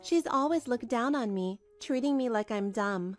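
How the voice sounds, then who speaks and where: High-voiced, long-suffering young wife played by an actress. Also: female voice, expressive, normal speed, close to an everyday voice. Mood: weary, aggrieved. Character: put-upon young wife, anxious, earnest, long-suffering. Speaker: narrator and lead of a manga dub. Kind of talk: dramatised first-person story narration. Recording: music behind the voice.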